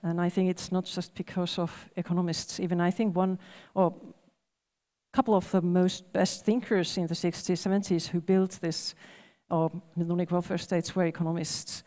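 A woman's voice: on the low side, flat delivery, moderate pace, mellow. A woman, speaking reflectively on a panel with many restarts. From Finland. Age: 40s. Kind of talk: panel discussion. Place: large event hall on stage. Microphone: headset mic. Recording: long hall reverb.

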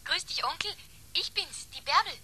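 An actress with a Bavarian accent playing a cheerful, confident teenage niece in a radio play, chirpy and eager. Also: female voice, extremely high pitch, animated, normal speed, sharp tone, slight background noise, heavily acted. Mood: cheerful, asking. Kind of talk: German radio play acting.

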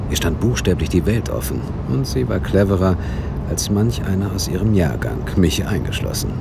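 A very low-pitched male police detective in an audio drama. He talks in a wry, easygoing, conversational way, with clear ambient noise behind him. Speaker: lead actor and first-person narrator. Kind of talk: German audio drama narration and dialogue.

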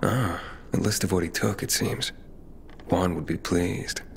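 A man's voice: low voice, fairly even intonation, measured pace, creaky, a little hiss or hum. An actor playing a wry young male scavenger in a video game, talking casually.

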